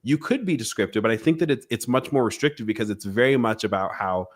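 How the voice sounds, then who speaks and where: A man's voice: medium pitch, fast talker. A man hosting a podcast in a casual conversational style, musing informally and rambling a little through his explanations. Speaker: podcast host.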